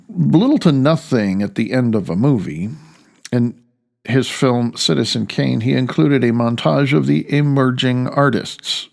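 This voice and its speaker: A man's voice: mid-range voice, vocal fry, darkish tone. A man reading his own audiobook, with a dry, wry manner and rambling asides.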